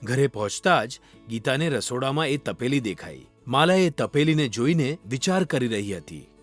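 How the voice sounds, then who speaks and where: A man's voice: medium pitch, lively intonation, normal speed. A man narrating a scripted children's fairy tale as a storyteller. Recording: music under the voice. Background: background music.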